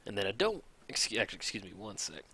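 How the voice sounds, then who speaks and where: A man casually commenting on a card game match, thinking aloud, his voice a little raspy from hours of recording. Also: fairly low pitch, big pitch swings, racing, no room sound. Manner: chatty, thinks aloud, counts out loud. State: slightly raspy from long recording. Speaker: gameplay commentator.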